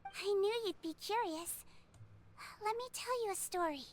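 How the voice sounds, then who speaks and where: A woman voicing a small, gentle, childlike creature nurse in an anime game, calm and composed. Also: extremely high pitch, a little slow, noisy background. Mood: calm, matter-of-fact. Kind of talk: scripted anime game acting, storytelling.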